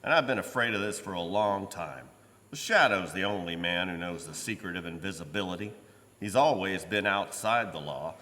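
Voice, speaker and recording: A man acts a sour, hard-nosed police commissioner in a radio mystery. His voice is low but forceful and sharp, gruff and sarcastic, ringing in a reverberant hall. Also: moderate pace. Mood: stern. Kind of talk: old-time radio drama acting. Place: live performance in a church.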